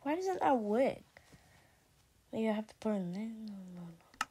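A woman's voice: medium pitch, very animated, normal speed, warm tone, a little hiss or hum. A woman giving casual let's play commentary, rambling and thinking aloud to her viewers. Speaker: gaming video host. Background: background music.

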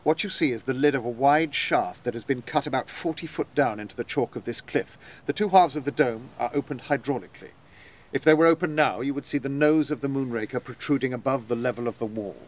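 An actor with an upper-class English accent playing a jovial, domineering rocket tycoon with a hearty, commanding manner in a radio drama. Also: male voice, mid-range voice, a little fast, lightly acted. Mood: proud, brisk.

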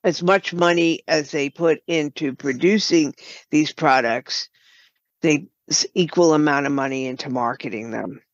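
A woman giving explanatory, halting answers as a guest in an unscripted interview. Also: low voice, no echo, dull audio. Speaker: podcast guest, writer and producer.

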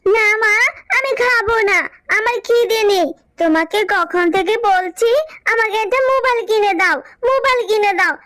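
A woman's voice: very high-pitched, moderate pace, fairly bright tone, no echo. A woman voicing a sulky, pleading little animal girl in a children's cartoon, whiny and insistent. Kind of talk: Bengali children's cartoon voice acting.

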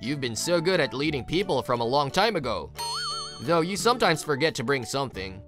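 A woman's voice: a bass voice, very animated, brisk. A woman playing a teasing, admiring young male office worker in a story, with a chatty manner. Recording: music behind the voice.

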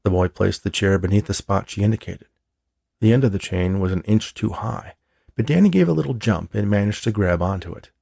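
A man narrates an audiobook in a lowish, gravelly voice. His delivery is expressive and the sound is close and dry. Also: fairly quick, no echo. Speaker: author reading own novel.

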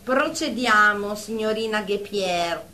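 A woman's voice: medium pitch, slow pace, pushed and bright, slight background noise. An actress playing a stern, exasperated female therapist in a radio drama, speaking in a formal, pedantic way.

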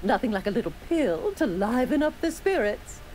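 A sweet, fussing older auntie from a video game. Her voice sits high and moves up and down a lot, full of cooing pet names, with faint noise behind it. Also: very animated, normal speed, darkish tone, poor audio. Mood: sugary, doting. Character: sugary older auntie dispensing pills at a clinic. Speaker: dispensary pharmacist. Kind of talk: video game character acting.

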